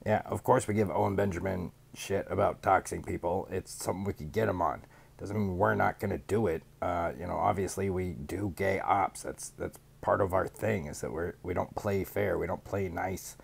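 A man in his thirties putting on a smug rival streamer who cheerfully admits to being a villain, speaking deadpan into a studio mic in a small home studio. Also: low voice, moderate pace, gravelly, husky, exaggerated voice. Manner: deadpan mock-confession, sarcastic. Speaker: podcast guest doing a mocking impression. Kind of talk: sarcastic in-character rant. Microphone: studio mic on boom arm, headphones.

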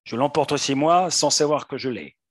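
A man reading aloud the lines of an earnest teenage schoolboy from a stage play, in a measured, unhurried way. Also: medium pitch, no echo, clean studio sound, natural voice. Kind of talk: dramatic reading.

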